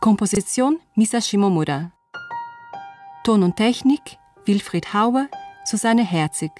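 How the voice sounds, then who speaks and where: A woman's voice: medium pitch, animated, a little slow, a little mellow. A woman announcer reading out the credits of a radio play in a scripted style. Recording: music playing behind the voice. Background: music.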